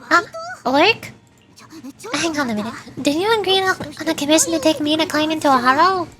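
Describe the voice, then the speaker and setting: A woman's voice: high-pitched, a little fast, crowd noise, natural voice. A woman voicing a perky, scheming young cat girl mercenary, chatty and cajoling, reading game dialogue. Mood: eager, puzzled. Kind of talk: video game dialogue read aloud. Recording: loud music behind the voice. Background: game music.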